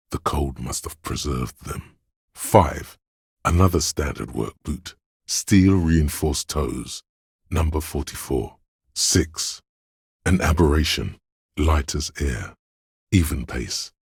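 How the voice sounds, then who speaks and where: A man playing a detective's analytical inner voice in a video game, speaking with grand, deliberate precision and a deep, gravelly, husky tone. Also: a little mellow, no echo, clean studio sound, full character voice. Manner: deliberate, precise, portentous, theatrical. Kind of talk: dramatic video game voice acting, measured narration.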